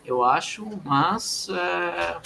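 A man's voice: high-pitched, expressive, drawn-out words, vocal fry, background noise. A man who learned Portuguese as a second language, talking hesitantly with many restarts during a live stream chat. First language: not Portuguese. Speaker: guest developer.

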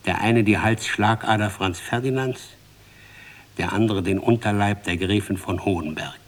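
An actor playing a solemn, impassioned storyteller in a radio play, declamatory and deliberate, repeating phrases for emphasis. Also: male voice, fairly low pitch, natural voice. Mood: grave, mounting. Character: solemn, impassioned male storyteller, a bard of fate. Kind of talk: dramatic scripted narration in a radio play.

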